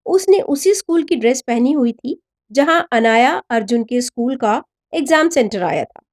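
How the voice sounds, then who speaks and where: A woman reading a scripted story in an expressive, dramatic narrator's manner. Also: sits high, moderate pace. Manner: expressive, voices the dialogue of characters.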